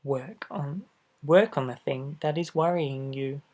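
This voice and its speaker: A man reading a children's storybook aloud expressively, adding gentle asides for young listeners. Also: fairly high pitch, slow. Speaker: storybook reader. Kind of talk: children's picture book read-aloud.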